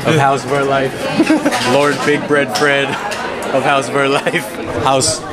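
A man's voice: mid-range voice, little pitch movement, measured pace. A young man hosting a casual vlog, chatty and joking, filming himself at arm's length in a busy themed restaurant. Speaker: vlog host. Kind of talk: casual vlog talk to camera. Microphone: handheld camera at arm's length. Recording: loud music and crowd noise. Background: loud music and crowd chatter.

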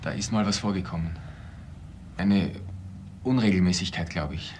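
A man acting a subdued, evasive grown son in a crime radio play. His low voice answers briefly and reluctantly, with faint noise behind. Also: normal speed, a little mellow, close to an everyday voice. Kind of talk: crime drama dialogue.

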